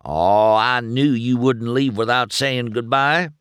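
A man voicing a simple, friendly, excitable tow truck character who talks in a folksy, rambling way. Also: medium pitch, normal speed, dry recording, studio quality, pitched above the performer's own voice, natural voice. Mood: dawning delight. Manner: folksy, rambling, run-on talk.

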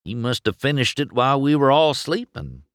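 A man voicing a simple, friendly, excitable tow truck character who talks in a folksy, rambling way. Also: mid-range voice, moderate pace, no room sound, clean studio sound, pitched above the performer's own voice, lightly acted. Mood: quiet, resigned. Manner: folksy, rambling, run-on talk.

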